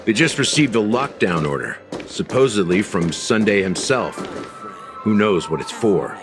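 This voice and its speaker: A worldly detective with a very low voice , played by a male actor, explains things in a theatrical, knowing manner. It is scripted video game dialogue, with music playing behind the voice. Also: lively intonation, normal speed, natural voice. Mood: puzzled. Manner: theatrical, rhetorical, expository.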